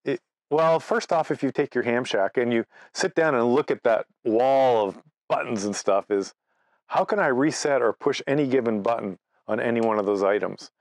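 Male presenter, 60s. Conversational explainer, medium pitch, dry close sound. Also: moderate pace, dry recording. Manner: conversational, explains through step-by-step examples and anecdotes. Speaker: presenter, amateur radio operator. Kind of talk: informal instructional presentation. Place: outdoors in front of leafy trees.

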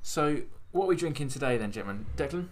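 A British man talks in a middling pitch, hosting a casual chat. His style is loose, friendly banter. A light layer of noise sits under the recording. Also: animated, fast. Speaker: podcast host.

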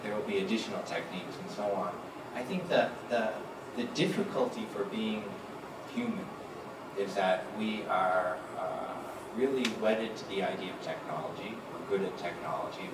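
A middle-aged man with a lowish voice answers on a panel, measured and reflective, circling back on his words. He speaks into a handheld mic, caught from a distance in a large, echoing hall. A loud wash of room noise sits under him. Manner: measured, reflective, many restarts. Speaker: panelist, public health professor. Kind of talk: panel discussion. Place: large lecture hall with audience.

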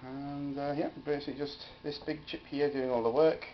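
Higher-voiced man casually demonstrating a gadget. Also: steady tone, normal speed. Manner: hesitant, offhand. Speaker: electronics hobbyist demonstrator. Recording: dull home video with faint noise.

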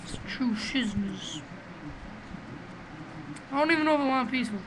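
A man with a small, high voice giving rambling, profane, excitable commentary while playing a game, recorded on a webcam microphone. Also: moderate pace. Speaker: gamer doing a let's play. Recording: noisy home recording.

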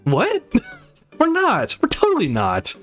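Man putting on a feisty high-voiced young woman fighter. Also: wildly swooping pitch, takes it slowly, a bit bright, soft background music, heavily acted. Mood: flustered.

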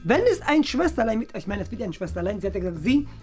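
A man's voice: sits high, very animated, rapid-fire, darkish tone, soft background music. A young man playing a whiny, scheming teenage son in a family comedy skit, hesitant and often repeating himself. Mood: scheming, curious. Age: young adult.

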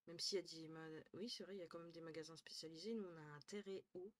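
A young woman streaming from a small room at home, talking into her headset. She is tired and chatty, thinks out loud, swears lightly and often trails off into low murmurs. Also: fairly even intonation, fast. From northern France. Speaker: Twitch streamer. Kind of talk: casual live-stream chat with viewers.

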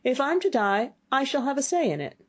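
A woman's voice: a little high, big pitch swings, normal speed, darkish tone, breathy edge, voice pushed up. A woman voicing a fearless, naive, cheerful young man in a fairy tale, eager and boldly unbothered. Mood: bold, unafraid.

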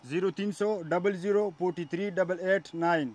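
A man's voice: high voice, fairly even intonation, normal speed, darkish tone. A young man with a Pakistani accent, a market trader giving short sales answers and repeating words for assurance. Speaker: wholesale clothing stallholder. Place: clothing warehouse stall packed with fabric bales.

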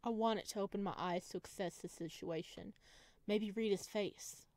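Woman, mid-pitched voice, expressive story narration, casual gamer asides, close dry mic. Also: moderate pace, no room sound. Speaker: gaming video host. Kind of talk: expressive read-aloud narration of a visual novel with casual asides.

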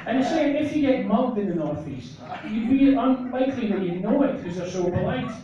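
Scottish man doing deadpan stand-up. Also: very high voice, fairly quick, lo-fi sound, very noisy. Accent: northeast Scottish. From northeast Scotland. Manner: deadpan, self-mocking, gestures a lot while talking. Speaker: stand-up comedian. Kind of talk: stand-up comedy set. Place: small comedy club, indoors. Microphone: handheld mic, filmed from far back in the crowd. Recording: echoey club from far off, crowd laughter.

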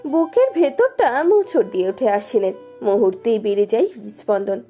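A woman's voice: on the high side, expressive, a little fast. A woman narrating a romance story expressively from a script. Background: soft music.

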